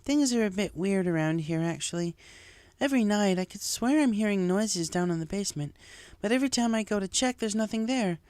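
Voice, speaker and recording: Man voicing a chatty, high motherly mare. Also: animated, fairly quick, a little mellow, clear, resonant tone, dry recording. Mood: uneasy. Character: chatty, motherly female bakery pony, uneasy.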